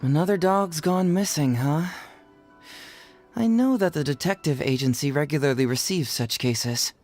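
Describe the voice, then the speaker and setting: Sly young man detective, self-assured and casual, on the low side, video game. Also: darkish tone. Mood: wry, businesslike. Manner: casual, wry, unhurried. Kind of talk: video game character acting. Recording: background music under the voice.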